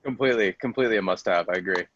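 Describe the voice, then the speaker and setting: A man's voice: sits low, very fast, fairly bright tone, dull audio, a little hiss or hum. An American man chatting casually in laid-back slang, calling people dude. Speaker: podcast cast member. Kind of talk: casual chat.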